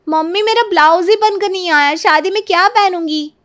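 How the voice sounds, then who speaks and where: A woman's voice: extremely high pitch, brisk, fairly bright tone. A woman voicing a whiny, self-absorbed young bride-to-be with a babyish, dramatic way of complaining in a cartoon. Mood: flustered. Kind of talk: Hindi cartoon story acting.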